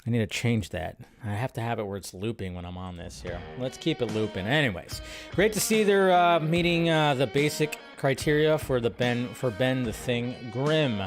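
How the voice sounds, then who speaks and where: A man's voice: mid-range voice, animated, normal speed. Chatty man hosting a live stream. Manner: loose, chatty, sprinkles in mild swearing. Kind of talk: casual live-stream chat reading viewer comments. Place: at home by a window. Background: faint intro music.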